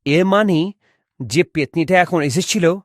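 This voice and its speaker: Performer as fond cartoon dad: puzzled, soft-hearted, worried, very dry recording. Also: medium pitch, takes it slowly, no echo, natural voice. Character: worried, fond village father, adult man. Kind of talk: Bengali cartoon voice acting.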